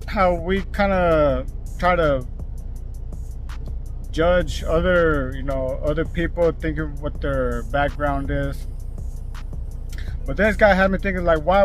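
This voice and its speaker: Rambling trucker man on the road. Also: a little high. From Mexico. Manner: rambling, loose, full of fillers and restarts. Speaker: truck driver vlogger. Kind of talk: casual vlog chat. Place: in and around his truck, on the road.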